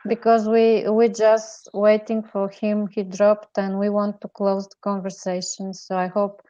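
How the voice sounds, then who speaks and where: A woman hosts an online panel discussion, speaking slowly and unhurriedly. She hesitates often and fills her pauses with ums and uhs. Her voice comes through dull and lo-fi, like an internet call. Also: medium pitch, flat delivery, warm tone. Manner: slow, hesitant, with many fillers. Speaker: host.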